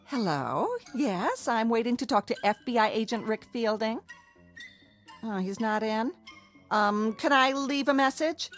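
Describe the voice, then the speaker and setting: An actress playing a sharp-tongued, exasperated middle-aged American wife in an audio drama, sarcastic, biting and bossy. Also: female voice, on the high side, expressive, measured pace, faint music behind. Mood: indignant. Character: sharp-tongued, scornful, exasperated wife, fussy about her house.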